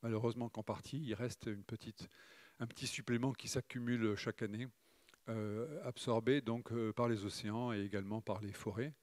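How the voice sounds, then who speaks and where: A middle-aged man from Provence gives a conference talk over slides, speaking in a measured way and pausing often with fillers. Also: mid-range voice, steady tone, darkish tone, dry recording. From Morocco, raised in Provence. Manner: measured, hesitant with frequent "euh" fillers.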